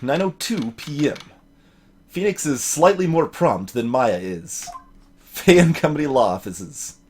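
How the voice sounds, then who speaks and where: A man's voice: medium pitch, a little mellow, slight background noise. A man hosting a let's play with casual commentary, reading captions aloud and adding wry, rambling asides.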